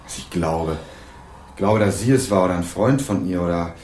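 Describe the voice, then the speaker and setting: Give me an actor doing a dry, wry young student narrator with a deep voice. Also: male voice, moderate pace, a little mellow, a little hiss or hum, lightly acted. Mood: troubled. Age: young adult. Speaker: first-person narrator and student. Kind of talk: scripted crime radio play narration.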